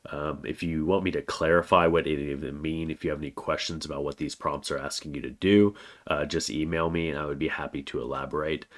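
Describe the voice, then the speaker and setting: A man with a cold, a college writing instructor giving a recorded weekly course overview in a plain, steady way with frequent "uh" fillers. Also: extremely low pitch, fast. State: has a cold, slightly congested.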